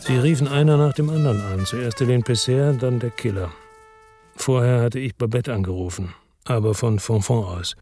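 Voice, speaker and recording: An actor playing a weary, determined man who narrates his crime story in a measured, understated, rough-edged way for German radio drama. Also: medium pitch, dark tone, no echo, soft background music, lightly acted. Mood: sober narration. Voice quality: husky, rough.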